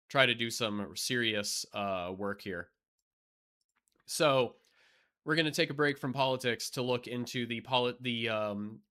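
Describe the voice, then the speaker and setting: Young male streamer, forceful bright tone, mid-pitch, loose rambly delivery full of "um" and "like", voice getting strained. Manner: rambling, many fillers and restarts; blunt and profane. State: losing his voice. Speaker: live streamer. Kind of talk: casual live-stream chat.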